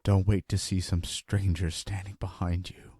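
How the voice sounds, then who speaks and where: Low-voiced haunted father telling horror, acted by a man. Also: level tone, background noise, lightly acted. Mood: desperate warning. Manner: grave, dramatic, deliberate phrasing. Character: haunted, frightened husband and father recounting his ordeal. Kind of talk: dramatic first-person horror narration. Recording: loud music under voice.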